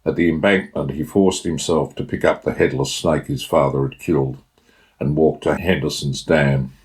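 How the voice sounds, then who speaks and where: A man's voice: rumbling low, steady tone, moderate pace, darkish tone. A man with an Australian accent reading his own short story as scripted narration.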